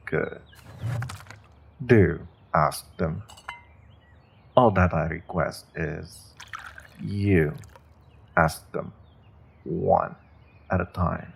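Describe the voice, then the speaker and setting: A sinister sorcerer captor played by a man speaks very slowly, in a deep voice full of gravel. He is courteous and measured, letting polite phrases carry the threat, as in a fantasy audio roleplay. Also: a little hiss or hum, heavily acted. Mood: calm threat. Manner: measured, polite, veiled threats, deliberate pauses.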